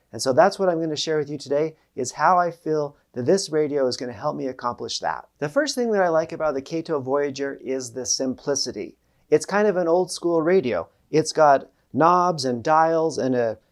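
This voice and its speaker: A man hosting a casual product review, talking to viewers in a plain-spoken, explanatory way. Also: on the high side. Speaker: host of a preparedness product review channel.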